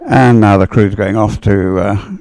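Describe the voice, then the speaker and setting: A man giving live, unscripted sports commentary as a race commentator, with a halting, rambling delivery full of hesitation. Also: fairly low pitch, gravelly, darkish tone, dry recording.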